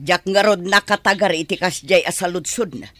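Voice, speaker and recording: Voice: medium pitch, moderate pace, pushed and bright, no room sound, natural voice. An actor playing a snappish, evasive in-law. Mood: defensive. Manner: snappish, defensive. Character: sharp-tongued, evasive in-law parent. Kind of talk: amateur radio drama acting.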